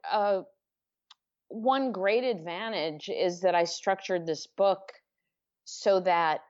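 Woman guest author, unhurried and low-voiced, thinking aloud in a muffled lo-fi recording. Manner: thoughtful, circling with restarts and fillers. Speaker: author being interviewed. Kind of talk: reflective interview answers. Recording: dull lo-fi audio.

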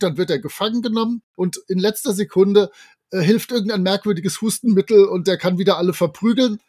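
Man in his fifties, high-pitched, dry sound, casual podcast chat, enthusiastic and colloquial. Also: a little mellow, no room sound. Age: 50s. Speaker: podcast co-host.

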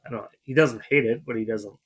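A man's voice: mid-range voice, fast, slightly rough. A man co-hosting a podcast, chatting about his week in an easygoing, rambling way and trailing off his sentences. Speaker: podcast co-host. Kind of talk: casual chat.

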